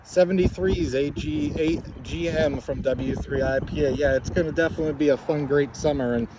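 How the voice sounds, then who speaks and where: Halting man on amateur radio, noisy outdoors. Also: a little high, fairly even intonation. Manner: hesitant, halting delivery. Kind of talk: casual radio contact chat. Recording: outdoor recording with clear background noise.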